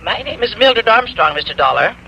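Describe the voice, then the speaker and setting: A woman's voice: a little high, sing-song, a little fast, vocal fry. An actress playing a haughty, flustered woman suspect in a radio crime drama, stammering indignantly over the telephone. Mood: nervous, insistent. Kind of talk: old-time radio crime drama acting. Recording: muffled, hissy old radio broadcast.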